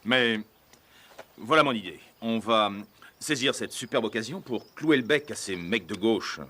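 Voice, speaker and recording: A man's voice: on the low side, lively intonation, unhurried, pushed and bright, a little hiss or hum. A man playing a television journalist who talks in a chummy, persuasive way.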